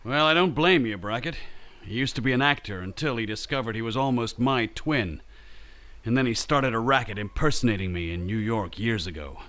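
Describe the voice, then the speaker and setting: A man's voice: medium pitch, a little fast, a bit bright, lightly acted. An actor playing a rich American man and his crooked double, delivered emphatically in old radio drama style. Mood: bitter, subdued.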